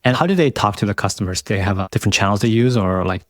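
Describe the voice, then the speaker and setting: A man's voice: lowish voice, animated, rapid-fire, no echo, clean studio sound. A man hosting a casual interview, talking informally and often saying "like" and "man". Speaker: interviewer, podcast host.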